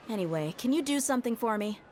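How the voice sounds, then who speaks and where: A tough female mercenary acted by a woman speaks in a fairly high, animated voice. She is brisk, dutiful and assertive in game style, with clear ambient noise behind her. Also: close to an everyday voice. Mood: urgent, surprised. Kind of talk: video game voice acting.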